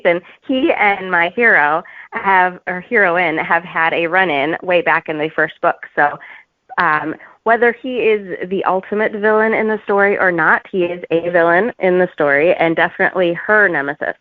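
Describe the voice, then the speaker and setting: A woman talking as an author guest in a casual interview, with an informal, halting, giggly manner. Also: lowish voice, dry recording, phone-quality sound.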